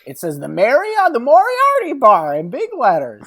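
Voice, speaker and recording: A man playing a tiny, smug crime boss in an improvised scene, breezy and creaky-voiced. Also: very high-pitched, huge pitch swings, normal speed, a bit bright, no echo, clean studio sound, voice pushed up, heavily acted. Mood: smug, nonchalant. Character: tiny cloaked crime boss with cane, male, smug, nonchalant.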